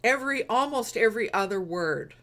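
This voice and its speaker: A woman from Canada with an East Coast accent, hosting a casual live-stream discussion, unhurried and laughing often. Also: fairly high pitch, animated, a bit bright. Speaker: host.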